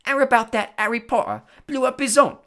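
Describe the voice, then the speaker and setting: A reader voicing Stan, a chatty young male bus conductor who gossips loudly in working-class London speech. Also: medium pitch, brisk, pitched above the performer's own voice, lightly acted. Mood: excited gossip.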